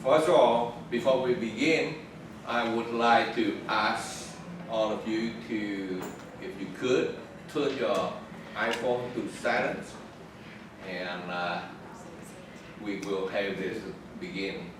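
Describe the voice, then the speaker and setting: An older man with a Vietnamese accent moderating a conference panel, speaking in a halting way and often correcting himself. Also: on the low side, background noise. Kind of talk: unscripted conference talk. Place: conference room. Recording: echoey room, voice from a distance.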